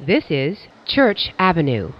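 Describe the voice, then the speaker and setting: A woman reading recorded train announcements in a measured, formulaic way with careful enunciation. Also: low voice, sing-song, muffled recording.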